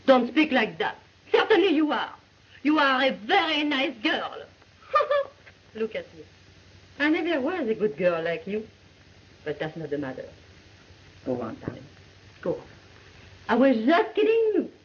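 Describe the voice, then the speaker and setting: Worldly French saloon keeper, French accent, high swooping voice, old lo-fi film audio. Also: female voice, huge pitch swings, measured pace, pauses often, a little hiss or hum. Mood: tender. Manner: laughing, coquettish, broken English.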